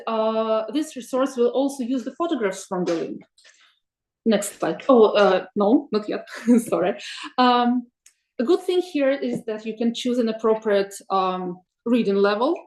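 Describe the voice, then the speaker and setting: A woman's voice: a little high, a little mellow. Woman, webinar presenter, hesitant and friendly delivery, roomy sound. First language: Russian. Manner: thoughtful, many ums and uhs, asks for next slide. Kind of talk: presenting slides in an online webinar.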